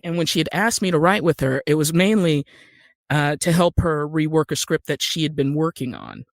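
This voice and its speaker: A woman's voice: low voice, very animated, moderate pace, no echo, studio quality. A middle-aged American woman telling stories casually as an interview guest, with wry, self-deprecating humour. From Utah, United States. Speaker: podcast guest, actor and writer.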